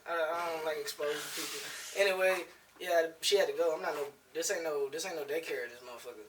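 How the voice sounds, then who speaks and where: A man's voice: fairly high pitch, fast, recorded from a distance, background noise. A man whose first language is German, talking casually and with heavy slang as a podcast guest.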